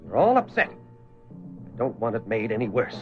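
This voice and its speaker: A domineering middle-aged father in a radio play. His voice sits low and he speaks in clipped commands. The recording is dull and lo-fi. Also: big pitch swings, normal speed, faint music behind. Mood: stern, irritated. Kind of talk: radio drama acting.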